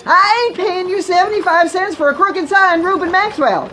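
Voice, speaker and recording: An actress playing a feisty, bossy Old West storekeeper with a folksy American accent in a radio western. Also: female voice, very high voice, normal speed, pushed and bright, slight background noise. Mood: exasperated, scolding. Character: feisty, scolding Old West storekeeper and telegraph operator woman.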